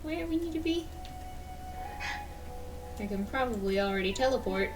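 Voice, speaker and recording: A woman's voice: fairly high pitch, lively intonation, moderate pace, over music. A woman streamer giving casual live game commentary and reading text aloud. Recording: distant mic with background noise.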